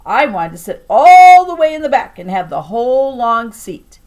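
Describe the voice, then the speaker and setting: A woman teacher reading a children's novel aloud to a class with expressive, emphatic and deliberate storytelling delivery. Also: fairly high pitch.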